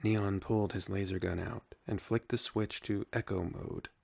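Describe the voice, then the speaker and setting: A man narrating a scripted sci-fi story in a soft, unhurried, solemn manner. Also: fairly low pitch, steady tone, vocal fry, dry recording.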